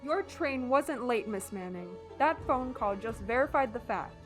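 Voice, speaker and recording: A woman playing a methodical police inspector in a radio drama, speaking in a measured, formal way. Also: on the high side, animated, faint music behind. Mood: smug, accusing. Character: methodical, knowing police inspector questioning suspects. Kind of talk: amateur radio drama acting.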